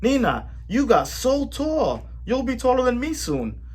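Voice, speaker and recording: A man voicing a cheerful, affectionate uncle who calls out loudly in a raised voice. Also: very high voice, wildly swooping pitch, measured pace, slightly rough, voice pushed up, heavily acted. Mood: jolly. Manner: loud, cheerful, calling out. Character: jolly tall uncle, boisterous and affectionate.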